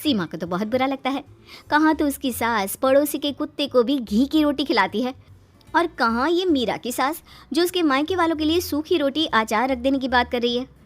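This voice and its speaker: Woman, narrator, high pitch, scripted comic storytelling, faint background music. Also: expressive, moderate pace.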